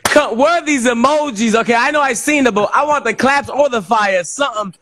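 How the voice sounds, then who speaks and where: A man in his thirties hosting a livestream in casual, animated talk, close to a studio mic in a small room. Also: very high voice, brisk, no echo. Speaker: livestream show host.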